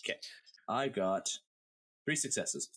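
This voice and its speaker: A man's voice: fairly low pitch, expressive, slow, fairly bright tone. A man playing a tabletop role-playing game on a podcast, chatting casually with playful, salty ribbing.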